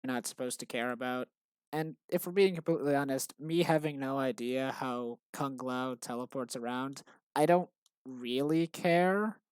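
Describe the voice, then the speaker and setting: Deadpan, monotonous man rambling. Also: moderate pace, smooth, dry recording. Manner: tired, low-energy. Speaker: game developer vlogger. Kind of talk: casual rambling commentary while working.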